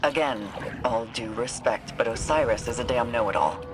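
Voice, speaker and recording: Male actor as hardened game soldier: medium pitch, blunt, guarded, stubborn, over clear background noise. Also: moderate pace, natural voice. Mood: stubborn, curt. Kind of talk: video game voice acting.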